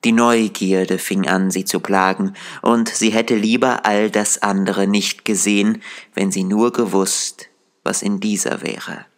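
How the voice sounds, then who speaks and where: A man narrating a dark fairy tale as a storyteller, in a measured, deliberate delivery. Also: fairly low pitch, little pitch movement.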